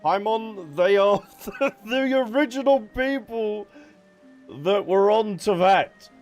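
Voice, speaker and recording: Joking man for casual game commentary; slightly high register, music in the background. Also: slow, dull audio. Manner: playful, joking. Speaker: game streamer. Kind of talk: casual game commentary and reading dialogue aloud.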